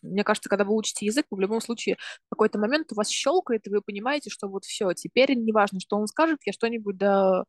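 A woman in her twenties who grew up in Russia talks through her studies as a podcast guest. She is thoughtful and explains step by step, often stopping to rephrase. Her voice sits at a middle pitch and is recorded dry and close, with no room sound. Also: fast talker, no room sound. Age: 20s. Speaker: podcast guest, university student. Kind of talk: casual explanatory talk.

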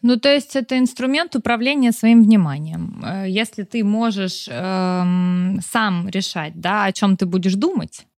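A woman's voice: mid-range voice, unhurried, few pauses, a little mellow, no room sound. Dry close-mic woman host. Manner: thinks aloud with fillers, sums up the guest's points. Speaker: podcast host. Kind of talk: interview conversation.

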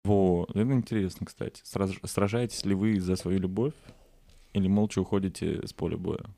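Male podcast host, slightly low pitch, casual, reflective, hedging delivery. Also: steady tone, normal speed, creaky, over music. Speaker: podcast host posing questions. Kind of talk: casual chat.

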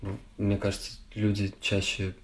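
A man's voice: fairly low pitch, completely flat delivery, normal speed. A man hosting a podcast in casual, supportive conversation, tentatively feeling for his words. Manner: tentative, hedging phrasing. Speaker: podcast host.

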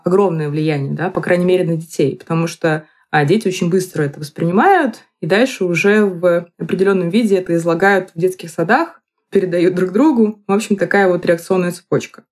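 A woman in her thirties giving reflective explanations in a podcast, picking her phrases haltingly and deliberately. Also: on the low side, darkish tone, breathy edge. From Moscow, Russia.

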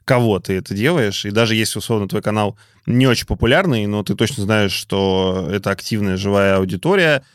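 A man hosting a casual podcast chat, talking in a measured way and thinking aloud with hesitations. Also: on the low side, dry recording, studio quality. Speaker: podcast host.

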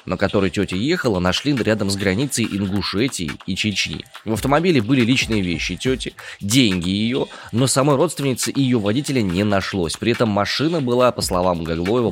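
A man's voice: fairly low pitch, slight background noise. A man co-hosting a news discussion show, reading news items and thinking aloud with some hesitation.

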